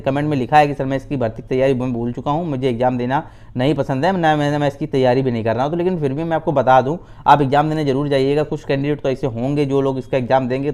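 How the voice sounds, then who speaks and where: A man's voice: on the high side, dark tone. A man. He explains things informally, like a video host updating candidates. He circles back over phrases and leans on fillers and little check-in tags. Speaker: YouTube host giving exam updates.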